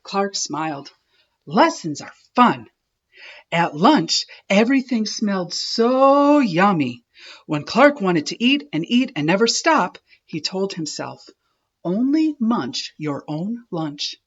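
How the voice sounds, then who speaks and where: A woman narrating a children's read-aloud expressively, with emphatic, drawn-out key words. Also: medium pitch, big pitch swings, slightly rough, muffled recording. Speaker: storyteller.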